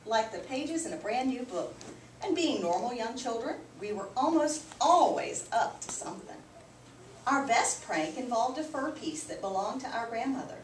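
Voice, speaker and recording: A woman reading a picture book aloud to children like a teacher, with expressive, emphatic storytelling. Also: on the high side. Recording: roomy, distant mic, clearly noisy background.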